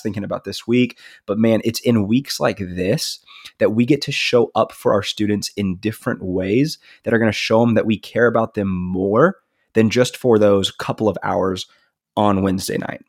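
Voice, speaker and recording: A man's voice: mid-range voice, no room sound, studio quality. A man co-hosting a casual podcast, sounding nasal from a stuffy nose, upbeat and easygoing. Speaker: podcast co-host, student ministry leader.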